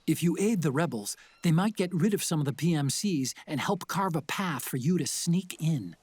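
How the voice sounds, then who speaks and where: An earnest American tech adviser in a video game cutscene. His pitch is a bit high and the sound is dry and close. He explains carefully, with a mild temper. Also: animated, normal speed, darkish tone, slightly rough, no echo. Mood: earnest, advising. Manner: earnest, explanatory, slightly apologetic.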